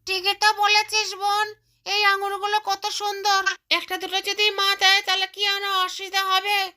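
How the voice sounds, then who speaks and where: A woman's voice: very high voice, monotone, unhurried, bright, forceful tone, heavily acted. A woman voicing a little cartoon bird with a childlike, chattering manner. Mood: pleading. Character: greedy, stingy little mother bird and her chirpy chicks. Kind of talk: cartoon character voice.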